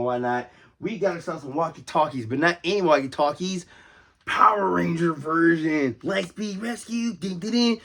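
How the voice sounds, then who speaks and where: Casual, enthusiastic man rambling to viewers. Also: on the high side. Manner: rambling, enthusiastic, exclaiming over details. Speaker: host of a toy collecting video series. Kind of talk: casual off-the-cuff toy show-and-tell.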